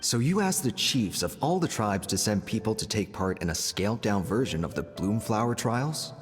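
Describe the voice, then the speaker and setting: Confident game chieftain, lowish voice, animated intonation, wry and smug, dramatic. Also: male voice, in a large hall. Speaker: voice actor in a game cutscene. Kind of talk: dramatic game dialogue acting.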